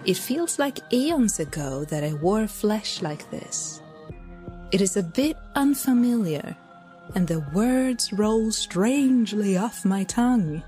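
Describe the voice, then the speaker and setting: A woman playing an otherworldly divine messenger who was once human, formal and deliberate, in an audio drama. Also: medium pitch, expressive, mellow, faint music behind. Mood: solemn, uncanny. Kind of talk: scripted audio drama monologue and narration.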